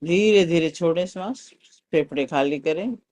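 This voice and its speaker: A man leading a guided meditation as a teacher, calm and soothing, giving unhurried instructions. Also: fairly high pitch. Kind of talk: guided meditation with breath counting and mantra chanting.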